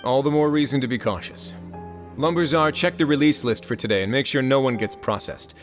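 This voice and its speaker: A composed prison warden with a mid-pitched, even voice and dry wit, as a man plays him. He speaks in measured, unhurried sentences, with faint game music behind. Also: natural voice. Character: calm authoritative male warden. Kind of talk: video game voice acting.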